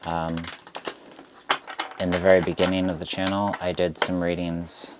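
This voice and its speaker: A man who reads tarot, speaking straight to camera in a frustrated rant, deliberate and halting with frequent ums. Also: deep voice, level tone, noisy background. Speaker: tarot reader.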